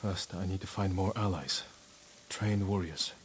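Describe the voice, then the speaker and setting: An actor playing a grim, duty-bound young samurai in a video game drama, speaking with solemn restraint. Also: male voice, low voice, a little slow, slight background noise. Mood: resolute. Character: grim, grief-stricken young samurai, duty-bound, vowing to save his uncle.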